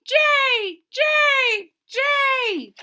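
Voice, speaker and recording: A woman's voice: extremely high pitch, drawn-out words, bright, forceful tone, voice pushed up, exaggerated voice. A woman voicing a blue jay bird crying out in a storybook. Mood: shrill cry.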